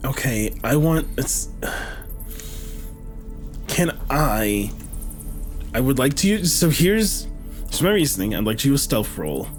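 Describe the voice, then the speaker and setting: A man's voice: mid-range voice, sing-song, measured pace, long pauses, soft background music. A man chatting casually as a player at a tabletop game, thinking aloud and bantering.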